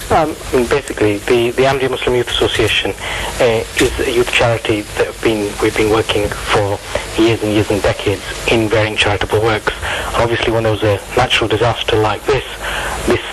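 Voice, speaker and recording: A man's voice: on the low side, steady tone, brisk. A man with a British accent giving an informal phone interview on the radio as a charity representative, earnest and modest. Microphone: telephone. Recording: noisy phone line into radio.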